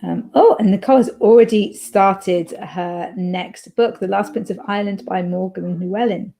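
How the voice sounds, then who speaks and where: A woman's voice: mid-range voice, moderate pace, gravelly, warm tone. A woman hosting a writing livestream, chatting casually and playfully as she reads chat messages aloud.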